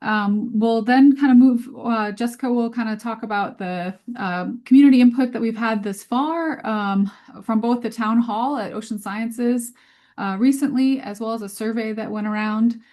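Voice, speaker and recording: A woman's voice: a little high, normal speed, mellow. Woman giving an informal science webinar. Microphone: video call.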